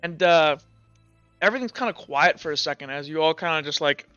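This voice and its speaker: Guy game mastering, crisp bright voice, halting improvised narration, slightly dull mic, faint music behind. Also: fairly high pitch, dry recording. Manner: halting, repetitive storytelling.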